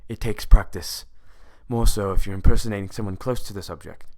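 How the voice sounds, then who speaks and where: Give the voice of a confident forger in a fan audio drama acted by a man. He sits fairly low and explains things with calm, knowing assurance, like a mentor briefing a novice. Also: a little fast, close to an everyday voice. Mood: explaining.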